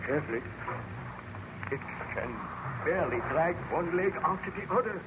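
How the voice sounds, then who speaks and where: An obsessed male scientist speaks from a muffled, noisy vintage radio serial. His voice sits fairly high and swings widely in pitch. He is grandiose and formal, every order given as a master would give it. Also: animated, normal speed. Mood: commanding, urgent. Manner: grandiose, formal, theatrical delivery. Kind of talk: old-time radio melodrama acting.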